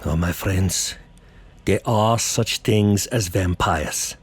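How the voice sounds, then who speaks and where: An actor playing a grave older professor who hunts vampires, speaking in solemn, deliberate oratory in a horror audio drama. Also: male voice, low voice, husky, exaggerated voice. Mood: grave, urgent. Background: music.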